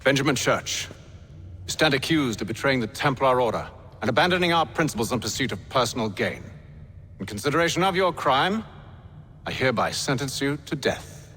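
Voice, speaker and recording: A cool, sardonic Templar leader in a video game. His mid-pitched voice moves animatedly while staying composed and dry. A faint hum of ambience sits behind him. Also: lively intonation, fairly quick, sharp tone. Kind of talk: video game cutscene acting.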